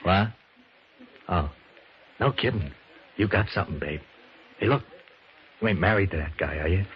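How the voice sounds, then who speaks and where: An actor playing a crude, short-tempered gangster who talks in tough slang, in old-time radio drama style. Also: male voice, deep voice, normal speed, natural voice. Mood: smooth, leering. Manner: slangy tough-guy talk, threatening. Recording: noisy, muffled old radio broadcast.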